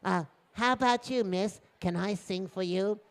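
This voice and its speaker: A man voicing a polite, helpful young girl in an oral story, gentle, chatty and a little hesitant. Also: sits high, a little slow, clear, resonant tone, voice pushed up. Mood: cheerful, awkward.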